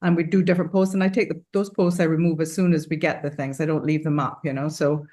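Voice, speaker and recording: A woman's voice: sits low, little pitch movement, fast, dark tone. Earnest rambling woman on a panel. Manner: earnest, rambling with many fillers and restarts. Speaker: charity founder speaking on a panel. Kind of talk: casual panel discussion.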